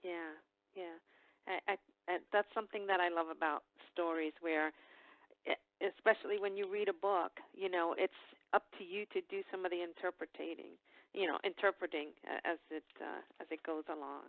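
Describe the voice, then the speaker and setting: A middle-aged woman hosting a casual book interview, speaking haltingly and often repeating or rephrasing her words. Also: fairly low pitch, steady tone, no room sound. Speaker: podcast interviewer, book club coordinator. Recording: narrow phone-line sound.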